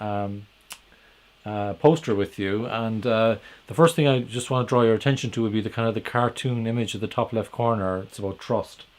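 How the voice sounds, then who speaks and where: A man with an Irish accent talks through his ideas on his own in a fairly low voice. He stops often on "uh" and "um", restarts his phrases, and keeps an easy conversational tone. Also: darkish tone. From Ireland. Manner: hesitant, many "uh" fillers and restarts, conversational. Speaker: podcast host and agile coach. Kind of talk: solo explanatory talk.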